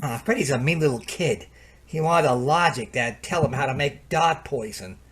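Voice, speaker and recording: A man playing a harried, excitable computer repairman in a radio play, halting and exclamatory, with folksy turns of phrase. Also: mid-range voice, husky. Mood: gloomy.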